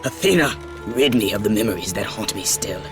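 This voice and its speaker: An actor playing a tormented, vengeful Spartan warrior in a video game, intense, brooding and defiant. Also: male voice, fairly low pitch, expressive, a little fast, over music. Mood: despairing. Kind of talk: dramatic video game acting.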